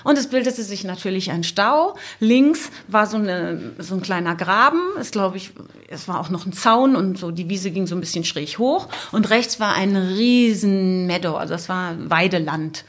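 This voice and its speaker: A woman's voice: mid-range voice, normal speed. A middle-aged woman casually telling anecdotes as a podcast guest, thinking aloud with an amused manner. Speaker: podcast guest, motorcycle traveller.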